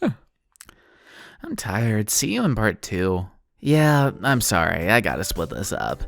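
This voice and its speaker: A man's voice: fairly low pitch, wildly swooping pitch, takes it slowly, creaky, dry recording, clean studio sound. A man giving a casual video essay commentary, sardonic and self-deprecating, with informal wording. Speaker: online video essayist, host of the channel. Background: background music.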